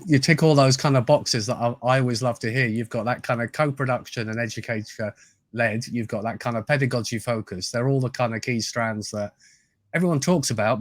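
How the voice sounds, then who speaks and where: Guy hosting a podcast, normal pitch, friendly with umms, very dry sound. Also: dry recording. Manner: friendly, measured, hesitant fillers. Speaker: podcast host. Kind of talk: podcast interview.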